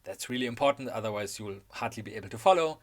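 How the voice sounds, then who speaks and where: A man's voice: fairly low pitch, animated, fast talker. A man with a German accent narrating a scripted video essay in a wry, enthusiastic way.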